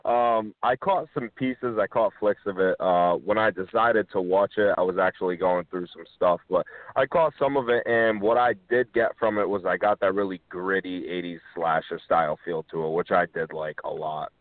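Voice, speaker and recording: A man's voice: deep voice, moderate pace, few pauses, dry recording. A man co-hosting a casual talk show, full of joking, crude quips. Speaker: co-host. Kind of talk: casual banter. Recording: dry tinny phone-line audio.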